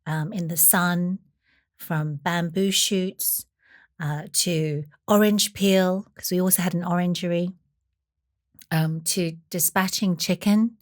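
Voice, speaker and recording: A woman's voice: lowish voice, fairly even intonation, slow, dry recording, clean studio sound. A woman, telling her story as an interview guest, chatty and full of "you know" fillers. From Taiwan. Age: 40s. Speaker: chef and guest. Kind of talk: conversational storytelling.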